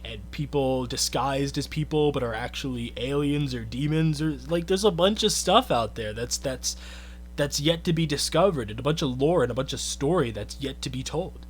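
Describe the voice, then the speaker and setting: A man's voice: medium pitch, moderate pace, a little hiss or hum. A man giving a casual, earnest commentary rant, thinking aloud with lots of "I think" and "you know". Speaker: YouTube commentator.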